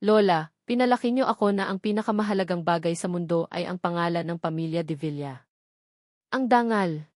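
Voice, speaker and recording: A woman's voice: fairly low pitch, brisk, a little mellow, no echo, studio quality, natural voice. A woman voicing a resolute, devoted young man in a romance audio drama, speaking in a solemn, declarative way. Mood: firm, defiant. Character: resolute young man defying his family, devoted, earnest.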